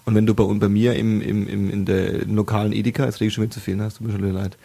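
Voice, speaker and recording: Husky-voiced man in rambling chat. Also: fairly low pitch, flat delivery, racing, warm tone. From Bavaria. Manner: rambling, many restarts and fillers. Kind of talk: casual chat.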